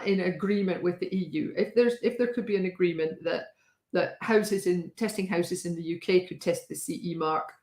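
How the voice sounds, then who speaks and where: A woman hosting a casual talk with a wry, chatty manner and a slightly hoarse voice, often pausing with fillers. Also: fairly low pitch, echoey room. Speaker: host. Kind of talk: casual live-stream talk.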